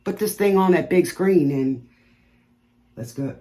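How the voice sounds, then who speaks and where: A woman's voice: on the low side, unhurried, mellow. Chatty, rambling woman tarot reader. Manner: rambling, chatty, stutters at times; laughs at own puns. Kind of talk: casual chat to viewers before a reading.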